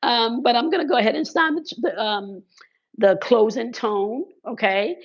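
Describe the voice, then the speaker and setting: A woman with a hoarse, crackling voice from congested sinuses, talking casually to her viewers about spiritual routines and often checking in with "okay" and "right". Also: on the high side, moderate pace. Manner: repeats "okay" and "right" as check-ins, uses fillers. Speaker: spiritual content creator. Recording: slightly dull lo-fi sound.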